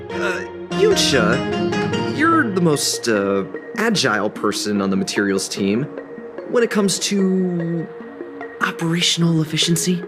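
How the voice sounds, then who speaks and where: A man's voice: a little high, wildly swooping pitch, takes it slowly, pauses often, over music, full character voice. An actor playing a cocky but cowardly young apprentice craftsman in a video game. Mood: sheepish. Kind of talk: video game cutscene acting.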